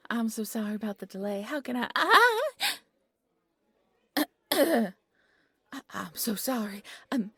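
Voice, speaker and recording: A young woman playing a shy, flustered bunny-girl receptionist in an audio roleplay, speaking in a soft, breathy voice with a nervous, apologetic stammer. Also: fairly high pitch, big pitch swings, slow, long pauses, no echo, studio quality, exaggerated voice. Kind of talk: audio roleplay character acting.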